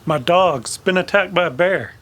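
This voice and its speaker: Polite american cowboy, war vet in a western radio drama, slightly high voice, bit hesitant. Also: male voice, normal speed, fairly bright tone, a little hiss or hum. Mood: urgent.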